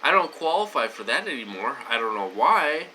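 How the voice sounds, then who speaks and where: Wheezy man, bright tense voice. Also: medium pitch, sing-song, moderate pace, slight background noise. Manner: rambling, many fillers and restarts. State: wheezy, short of breath, coughing. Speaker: vlogger. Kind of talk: casual talk to camera.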